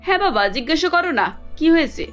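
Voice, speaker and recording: A woman's voice: high voice, big pitch swings, brisk, fairly bright tone, faint music behind. A woman voicing a chatty, good-humoured middle-aged mother-in-law in a cartoon, playful and indulgent, with dull lo-fi sound. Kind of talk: cartoon story dialogue.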